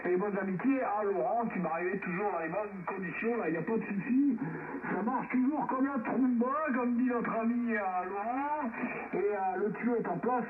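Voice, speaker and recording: A man's voice: high voice, narrow, tinny audio. A man chatting casually as an amateur radio operator, friendly and rambling, heard over two-way radio. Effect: two-way radio transmission. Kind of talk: casual radio round-table chat. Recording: noisy, echoey, band-limited shortwave radio reception.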